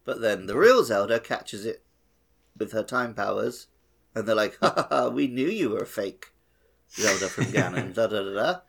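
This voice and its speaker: Low, gravelly male podcast co-host. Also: expressive, moderate pace, a bit bright. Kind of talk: casual, jokey chat.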